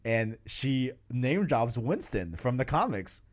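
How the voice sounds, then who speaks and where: A man's voice: medium pitch, sing-song, takes it slowly. A man hosting a casual video game discussion, talking in a rambling, enthusiastic, slangy way. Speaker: podcast host.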